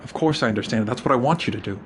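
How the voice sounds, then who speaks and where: An American actor playing a nervous, touchy, obsessive client in a radio drama, agitated and insistent. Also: male voice, mid-range voice, racing, a little hiss or hum, close to an everyday voice. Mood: wary, eager.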